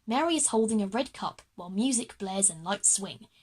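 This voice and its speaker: A woman's voice: mid-range voice, expressive, normal speed, darkish tone, breathy edge. A woman narrating an audio drama, reading scripted stage directions.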